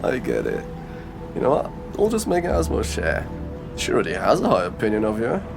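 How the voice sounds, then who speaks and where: A man acts out a cocky charmer of a detective in an audio drama. His low voice moves around a lot, full of teasing, theatrical banter. Music plays behind him. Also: huge pitch swings, normal speed, gravelly, exaggerated voice. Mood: cocky, playful. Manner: sardonic, playful, theatrical banter. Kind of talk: audio roleplay drama acting.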